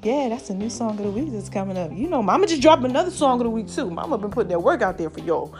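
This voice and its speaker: Animated raspy-voiced woman, high and lively. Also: fast talker, faint music behind. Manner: animated, calls herself Mama, addresses viewers as y'all. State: slightly raspy voice. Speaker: online commentator. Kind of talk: casual preachy chat to followers.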